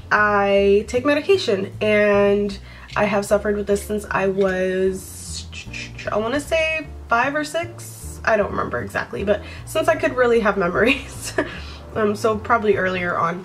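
A woman's voice: mid-range voice, a bit bright. A young woman from Brazil, Portuguese her first language, talking casually to her camera in a bedroom, rambling and laughing often. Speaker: vlogger. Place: bedroom by a window, indoors.

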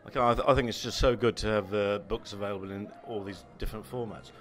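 A man's voice: fairly low pitch, steady tone, quick pace, soft background music. A man with an English accent interviewing guests at a launch, asking chatty, friendly questions.

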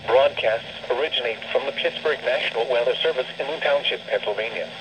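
A man's voice: medium pitch, a little fast. A synthetic, stilted man's voice reading a formal scripted weather forecast through a radio speaker. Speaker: automated weather radio announcer. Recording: noisy weather radio reception, re-recorded.

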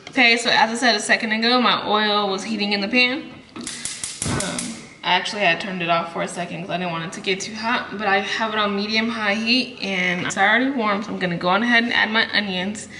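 A young woman walks viewers through a recipe in a casual, chatty way, with plenty of ums and asides. Her voice sits at an ordinary middle pitch. She records herself on a camera sitting close by on the kitchen counter, and food sizzles clearly in the pot behind her. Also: moderate pace, background noise. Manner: chatty, talking to viewers with many fillers. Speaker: cooking vlogger. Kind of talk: casual cooking tutorial. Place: home kitchen, indoors. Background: sizzling food and stirring.

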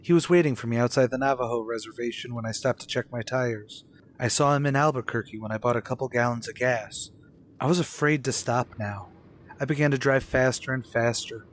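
A man's voice: mid-range voice, fairly quick, slight background noise, lightly acted. An actor playing a haunted, unravelling young man in an old-time radio suspense drama, with a brooding, dramatic delivery. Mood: haunted, dread.

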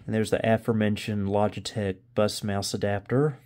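Mellow-voiced man thinking aloud. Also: lowish voice, flat delivery, normal speed. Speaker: host of a vintage computer video channel. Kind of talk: casual hands-on commentary. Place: indoors in a home room.

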